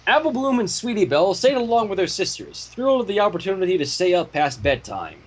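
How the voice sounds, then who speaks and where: Animated, husky man reading a story aloud. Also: high-pitched, fast talker. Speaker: fan fiction reader. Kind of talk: storytelling read aloud with casual asides.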